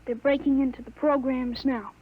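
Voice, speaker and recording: A woman playing a thirteen-year-old boy with a grown man's mind in a 1950s radio drama, speaking calmly and matter-of-factly on a dull, lo-fi old recording. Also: sits high, normal speed, slightly rough. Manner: calm, matter-of-fact, self-assured.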